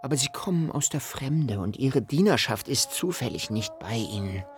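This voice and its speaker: An actor playing an earnest, reflective storyteller with a formal, contemplative manner in a literary radio play. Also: male voice, medium pitch, animated, normal speed, soft background music, close to an everyday voice.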